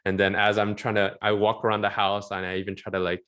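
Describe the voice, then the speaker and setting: A man in his forties from Southern California, chatting as an interview guest in a rambling, animated way and laughing often. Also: on the low side, fast. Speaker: interview guest, film concept artist. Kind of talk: casual interview chat.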